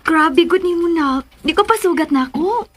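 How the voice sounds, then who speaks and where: A woman's voice: very high voice, expressive, normal speed, fairly bright tone. A woman playing a fussy, affectionate, well-to-do mother in a radio drama, effusive and fretting. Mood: fond, fretting. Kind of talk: radio drama acting, code-switching into English.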